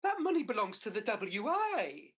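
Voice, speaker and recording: A woman's voice: mid-range voice, big pitch swings, normal speed, breathy edge. A woman playing a cheeky, scatterbrained Mother Goose in a radio pantomime, exclamatory and comic. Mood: bemused, earnest. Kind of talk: pantomime radio play acting.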